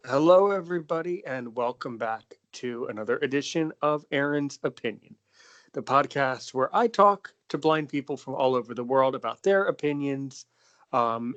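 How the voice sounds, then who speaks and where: A young man hosts a casual podcast chat at a middle pitch. He rambles a bit, with plenty of fillers and restarts as he tells his story. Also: fairly bright tone, highs cut off. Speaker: podcast host.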